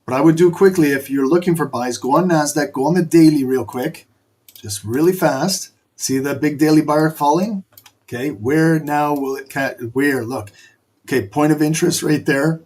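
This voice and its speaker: A man's voice: fairly high pitch, moderate pace, darkish tone, husky. A man who is losing his voice, hosting a live trading stream and coaching viewers in short, repeated bursts while he points at a chart.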